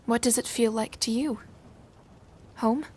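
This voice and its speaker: A woman acting a reserved, homesick young noblewoman in a Regency audio drama, soft-spoken and sincere. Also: on the high side, steady tone, measured pace, a little hiss or hum, lightly acted. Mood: shy, curious.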